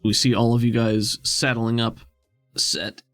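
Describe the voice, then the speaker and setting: A man's voice: medium pitch, little pitch movement, measured pace, fairly bright tone, no room sound, faint music behind. A man running and narrating a tabletop role-playing game as game master.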